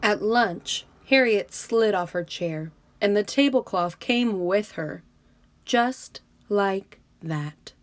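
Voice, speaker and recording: A woman's voice: medium pitch, expressive, slow pace, dry recording. A woman reading a picture book aloud for children, narrating and speaking to her young listeners directly. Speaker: storybook reader.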